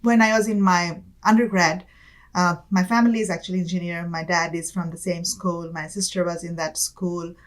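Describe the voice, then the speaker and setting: A young woman from Bangladesh, a guest in an interview, talking casually with many fillers and soft laughs. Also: lowish voice, fairly even intonation, normal speed. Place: campus studio.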